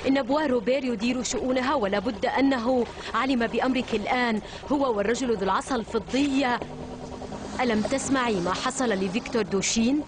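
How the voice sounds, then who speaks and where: A woman's voice: on the high side, brisk, noisy background, close to an everyday voice. A woman voicing an earnest, wary young man in a cartoon dub, speaking Modern Standard Arabic with urgent seriousness. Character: earnest, wary young male newspaper owner. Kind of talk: animated cartoon dub acting. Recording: background music under dialogue.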